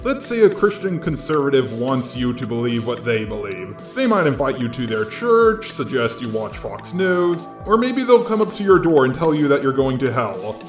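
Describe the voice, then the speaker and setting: A man's voice: mid-range voice, big pitch swings, moderate pace, few pauses. A man with a nasally voice delivering scripted opinion commentary into a gaming headset. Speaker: video commentator. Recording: roomy gaming headset sound, music behind.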